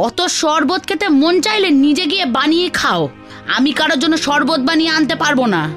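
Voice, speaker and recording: A woman voicing a sharp-tongued, weary village wife in a cartoon, scolding and complaining theatrically. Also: sits high, fairly quick, over music, close to an everyday voice. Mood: irritated, scolding. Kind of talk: Bengali children's cartoon dialogue.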